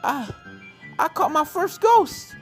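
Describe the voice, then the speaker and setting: A performer voicing an eager, plucky young cartoon filly, excited and earnest. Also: sits high, slow, voice pushed up, heavily acted. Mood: thrilled. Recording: music behind the voice.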